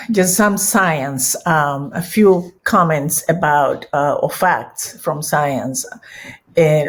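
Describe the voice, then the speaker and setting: A middle-aged woman from Peru with a Peruvian Spanish accent, giving a conference talk in a halting way with many restarts. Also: low-pitched. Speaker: conference speaker. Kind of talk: conference presentation.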